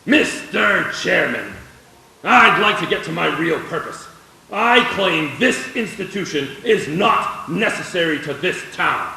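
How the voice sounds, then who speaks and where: Man acting a creaky, pompous mean old banker. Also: high-pitched, lively intonation, pushed and bright, far from the mic, exaggerated voice. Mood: cold, scornful. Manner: pompous, deliberate oratory. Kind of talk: live 1940s-style radio play acting. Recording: very echoey hall, live stage.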